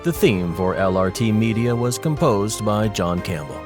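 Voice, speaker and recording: Male announcer for scripted closing credits, lowish register, music bed clearly behind. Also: expressive, moderate pace.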